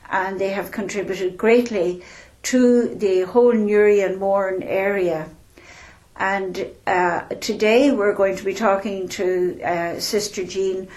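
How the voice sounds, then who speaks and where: Slow-speaking interviewer woman. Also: mid-range voice. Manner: gentle, courteous, hesitant with many uhs. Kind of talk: local interview.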